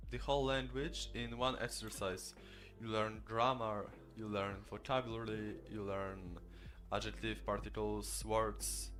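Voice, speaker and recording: A man's voice: medium pitch, fairly bright tone. A man whose first language is Polish explains study methods in a tutorial video. He speaks in an earnest, instructive way. Faint music plays behind him.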